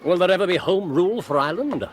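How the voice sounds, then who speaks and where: An actor playing a keen, self-assured Victorian detective with an English accent and a precise, theatrical manner in a radio drama. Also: male voice, high voice, lively intonation, fast, over music. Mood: teasing, assured. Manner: precise, theatrical, teasing deductions.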